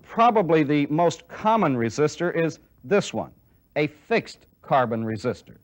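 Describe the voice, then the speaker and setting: Middle-aged man, American accent, slightly high voice, training-film instructor, methodical, deliberate, demonstrative lecture delivery. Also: expressive. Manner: methodical, deliberate, repeats key points for emphasis. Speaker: military electronics instructor. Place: indoor training film classroom studio with chalkboard and desk.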